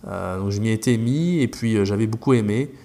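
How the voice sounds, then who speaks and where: A man's voice: on the low side, brisk, gravelly, dark tone. A French man, a language teacher, talking casually as a podcast guest, rambling with many fillers and restarts.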